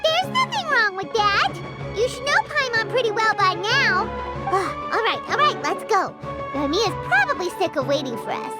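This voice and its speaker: A woman playing a tiny, perky, confident childlike fairy companion in a video game, frank and squeaky. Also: big pitch swings, normal speed. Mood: reassuring. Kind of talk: scripted video game story dialogue. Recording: voice under loud playful music.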